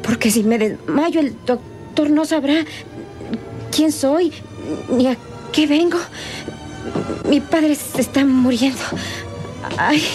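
A woman's voice: high-pitched, takes it slowly, pauses often. A woman playing a wounded, desperate young daughter in a melodramatic radio serial, weak and gasping. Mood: fainting, desperate. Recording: old radio serial with music behind.

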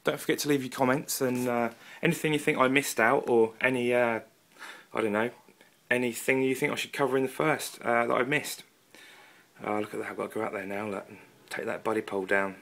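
A man's voice: mid-range voice, steady tone, brisk, creaky, a bit bright. A man with an English accent and a mid-range voice chats informally like a hobbyist making a home video. He tends to ramble and is a little self-deprecating, keeping everything casual. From England. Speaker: amateur radio hobbyist and video reviewer. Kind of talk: casual product review and radio chat. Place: indoors at a wooden table, off camera.

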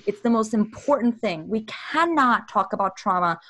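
A woman's voice: fairly high pitch, lively intonation, moderate pace, a bit bright, no echo. A woman from Brazil, a life coach, speaking as a podcast guest in an earnest, emphatic way with bursts of intensity. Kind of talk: casual podcast conversation.

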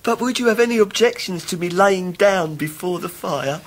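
A man's voice: high voice, moderate pace. A man playing a falsely humble, scheming young clerk in a radio drama, with an obsequious, self-abasing manner. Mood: ingratiating. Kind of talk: 1990s radio drama acting.

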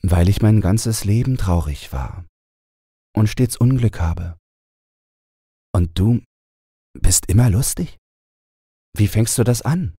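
A man's voice: fairly low pitch, expressive, takes it slowly, pauses often, mellow, slightly rough, no room sound, clean studio sound, voice pushed up, heavily acted. A man voicing a timid, sad, unlucky young man in a fairy tale, hesitant, plaintive and polite. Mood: sad, wondering.

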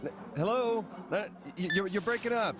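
An actor playing a nervous, evasive man who stammers and hesitates in an animated game cutscene. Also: high-pitched, normal speed, lightly acted. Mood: panicked, evasive. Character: nervous, evasive man cornered by his girlfriend. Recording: loud music under the dialogue.

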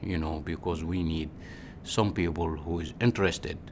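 Egyptian-accented man in his forties, very deep voice, unhurried and halting interview answers, faint room tone. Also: steady tone, a little hiss or hum. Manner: unhurried, halting, with restarts and "you know". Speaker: physician.

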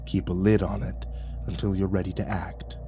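A man voicing a calm, ancient male dragon who speaks low, hushed and slow, sometimes in a whisper. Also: music in the background. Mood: hushed, secretive.

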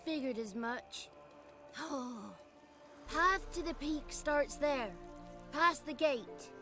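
Casting: a childlike male forest sprite, very high voice, unhurried, cheeky, for a fantasy game. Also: expressive, pushed and bright, faint music behind, heavily acted. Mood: resigned, exasperated. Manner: chatty, rustic old-fashioned turns of phrase. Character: Johnny, small chatty male godling, childlike, cheeky. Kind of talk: video game character acting.

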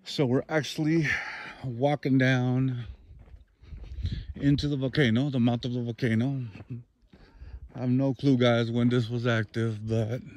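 A man's voice: mid-range voice, measured pace, pauses often. A man in his thirties, a truck driver, talking casually to viewers into a phone held at arm's length while touring outdoors. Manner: relaxed, chatty, addresses viewers as guys. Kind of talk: casual travel vlog. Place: outdoors on a volcano trail and in a truck cab.

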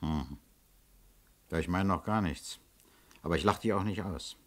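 An actor playing a calm, pensive police chief inspector, terse and commanding, in a German radio crime drama. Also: male voice, low voice, normal speed. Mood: pensive, decisive.

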